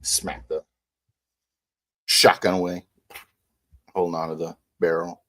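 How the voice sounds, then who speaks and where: A man improvising first-person narration in a tabletop roleplay game, halting and low-key. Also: level tone, pushed and bright.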